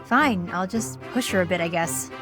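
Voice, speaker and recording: A woman's voice: on the low side, moderate pace, over music, natural voice. A woman playing a blunt, teasing young woman in a video game, with an informal, offhand way of talking. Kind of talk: English video game voice acting.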